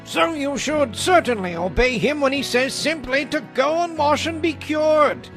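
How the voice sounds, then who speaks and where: An extremely high-voiced, deferential officer in a Bible drama. He pleads respectfully and persuasively. Soft music sits underneath. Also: unhurried, full character voice. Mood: pleading, respectful. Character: deferential, pleading officer reasoning with his master. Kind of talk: dramatized audio Bible acting.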